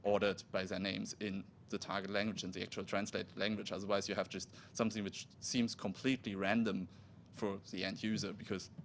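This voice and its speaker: German man giving a halting tech talk. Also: on the low side, level tone, a little hiss or hum. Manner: halting, with restarts and self-corrections. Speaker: conference speaker. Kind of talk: technical conference talk. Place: conference room.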